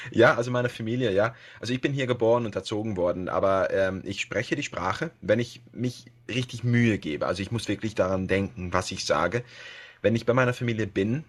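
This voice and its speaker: A man with a Dutch accent speaks German as a second language in a relaxed podcast chat. His voice sits a little low, and he searches for words, filling gaps with hesitations and restarting sentences. He laughs now and then as he talks. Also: normal speed, slightly rough. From Netherlands. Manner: hesitant, many fillers and restarts, laughs often. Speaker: podcast guest, web radio host. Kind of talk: casual podcast interview.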